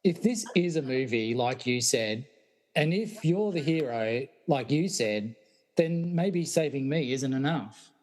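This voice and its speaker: A man's voice: mid-range voice, expressive, a little slow, a little mellow, lightly acted, low-quality recording. A man playing a bewildered, sceptical teacher in an amateur comedy radio play, questioning everything with incredulity. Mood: reasoning. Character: bewildered, sceptical science teacher pulled out of trance.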